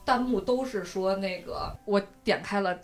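Female podcast co-host, medium pitch, casual conversational delivery with music playing behind. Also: moderate pace.

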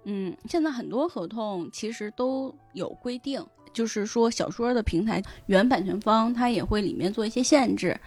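A woman explaining things in animated casual chat as a guest on a talk show. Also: on the high side, moderate pace, hardly any pauses. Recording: background music under the voice.

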